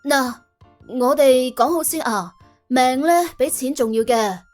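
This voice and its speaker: A woman's voice: sits high, unhurried, faint music behind. A woman voicing a wary, sardonic young female temp worker in an audiobook, blunt and with a Cantonese accent. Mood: cautioning. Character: wary, sharp-tongued young woman doing temp jobs. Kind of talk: audiobook character acting.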